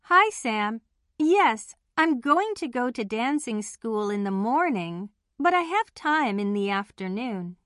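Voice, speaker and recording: A woman playing a friendly girl in scripted English lesson dialogue, speaking deliberately with careful enunciation. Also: a little high, big pitch swings, a bit bright, no room sound, clean studio sound, exaggerated voice. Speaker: listening test voice actor.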